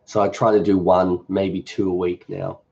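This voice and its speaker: A middle-aged Australian man hosting a cooking channel, reading and answering viewer questions in a relaxed, rambling, joking way during a live stream. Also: deep voice, normal speed, highs cut off.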